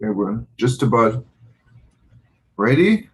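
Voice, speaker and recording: A man's voice: medium pitch, sing-song, slow pace, low-quality recording. A man hosting a live online news show from home, chatty and apologetic with laughing asides. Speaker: show host. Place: home in Hawaii.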